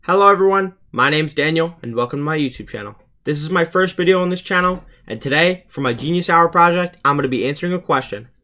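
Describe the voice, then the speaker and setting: A man's voice: on the high side, expressive, fast talker, few pauses, pushed and bright. A teenage male student narrating a scripted explainer for his video channel, earnest and plainly presented. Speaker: student YouTuber presenting a school project.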